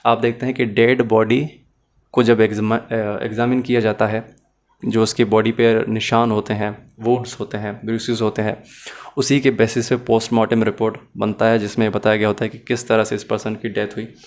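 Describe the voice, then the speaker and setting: A man's voice: lowish voice, little pitch movement, normal speed. A man teaching a law lecture, explaining each point step by step and mixing English legal terms into his Hindi.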